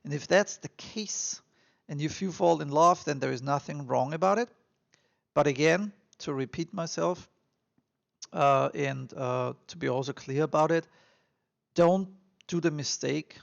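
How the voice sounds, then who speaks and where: A man with an Austrian accent talks straight to his viewers, earnest and encouraging, circling back to his points with plenty of fillers. His voice sits a little high and has a gravelly, fry-tinged edge. The recording sounds dull and lo-fi. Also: unhurried. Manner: earnest and encouraging, repeats himself, frequent fillers. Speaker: immigration vlogger. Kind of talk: advice monologue to camera.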